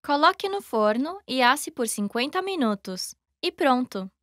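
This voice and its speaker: A woman reading scripted lesson dialogue in a careful, even way. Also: sits high, measured pace, no room sound, clean studio sound. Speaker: language lesson voice actor.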